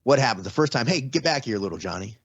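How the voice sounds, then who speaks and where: A man's voice: mid-range voice, expressive, quick pace, breathy edge, no room sound. Rambling man in a dry, dull recording. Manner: rambling, restarts often. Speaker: podcast guest. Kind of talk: casual conversation. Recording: slightly dull, dry sound.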